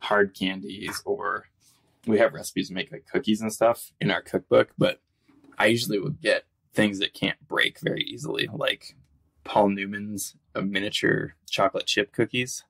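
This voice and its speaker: A man who is an outdoor cookbook author, talking in a relaxed, rambling way as a podcast guest with lots of fillers. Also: fairly low pitch, moderate pace, vocal fry, husky. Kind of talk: casual interview talk.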